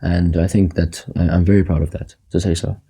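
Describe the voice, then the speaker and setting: A young man from Egypt, raised in Qatar, answering interview questions softly and hesitantly, often repeating words. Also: low voice, little pitch movement, fairly quick, gravelly, slightly rough. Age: young adult. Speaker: guest. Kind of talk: casual interview talk.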